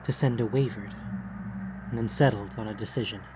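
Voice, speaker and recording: A man narrating a fantasy audiobook in a solemn, dramatic, deliberate manner. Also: lowish voice, fairly even intonation, heavy background noise. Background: music.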